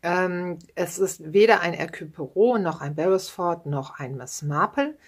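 A woman's voice: on the low side, moderate pace, husky. A woman in her thirties casually chatting about her books at home, with many fillers and little tag questions. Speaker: book vlogger. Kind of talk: casual book chat. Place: home room with bookshelves, indoors.

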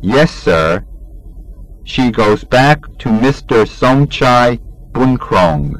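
A man reading an English textbook lesson aloud in a measured, deliberate teaching manner, enunciating each drill sentence. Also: mid-range voice, expressive, dry recording.

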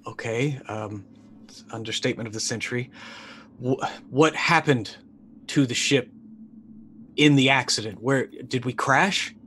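A man's voice: mid-range voice, unhurried, pauses often, sharp tone, slight background noise. A man playing a confused, exasperated company man in a tabletop game, hesitant, stammering and dryly sarcastic, with an everyday voice. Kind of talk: tabletop game role-play.

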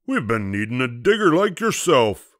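A man voicing a loud, bossy storybook pirate captain in a bellowing, commanding sea-dog manner. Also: mid-range voice, very animated, normal speed, no echo, studio quality, lightly acted. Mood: hearty.

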